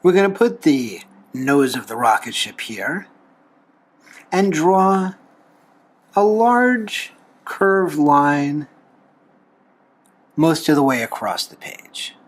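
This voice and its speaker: A man with a slightly high voice and very animated intonation walks the listener through a drawing. He explains each step in a folksy, unhurried way, pausing as he goes. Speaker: host of a drawing tutorial show, reverend. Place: talking from behind a camera while drawing.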